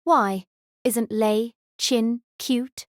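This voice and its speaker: A narrator voicing a calm, emotionless young woman who teases in a flat, even tone. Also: mid-range voice, very slow, dry recording, studio quality, voice pushed up. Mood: teasing.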